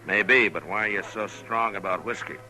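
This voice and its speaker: A man playing a firm, calm, plainspoken frontier marshal in an old-time radio western. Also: on the low side, normal speed, low-quality recording. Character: steady, firm, laconic male frontier marshal.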